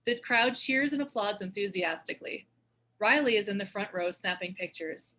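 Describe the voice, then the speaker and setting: A woman's voice: mid-range voice, lively intonation, moderate pace, sharp tone. A woman reading screenplay stage directions as scripted narration.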